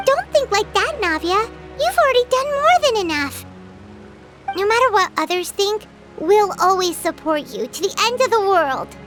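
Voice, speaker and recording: A woman's voice: very high-pitched, very animated, normal speed, music in the background. A woman voicing a small, chirpy companion girl who speaks earnestly and reassuringly. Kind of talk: game voice acting.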